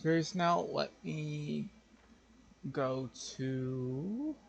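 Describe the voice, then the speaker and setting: A man's voice: fairly high pitch, drawn-out words, dull audio. A man giving casual live gameplay commentary, thinking aloud in a distracted way. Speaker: game streamer. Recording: clear background noise, game ambience.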